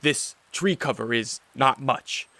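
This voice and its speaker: An actor playing a weary, gloomy vampire count in a radio drama, courteous and self-deprecating, with a halting, formal, dry delivery. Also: male voice, mid-range voice, sharp tone, no echo, full character voice.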